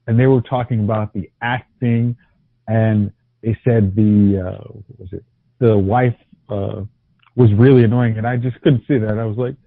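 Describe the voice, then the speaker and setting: A man's voice: on the low side, steady tone, moderate pace, gravelly, no room sound. A man co-hosting a podcast, chatting casually about TV, often stumbling and restarting his sentences. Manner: hesitant, many restarts and fillers. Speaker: podcast co-host. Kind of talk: casual TV review chat. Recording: tinny phone-like audio.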